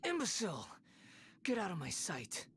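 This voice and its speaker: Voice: medium pitch, wildly swooping pitch, normal speed, a bit bright, breathy edge, heavily acted. Haughty, scornful anime youth, swooping delivery. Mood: disdainful. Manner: haughty, contemptuous, theatrical. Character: arrogant, scornful young male wanderer with wind powers. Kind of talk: anime fantasy game voice acting.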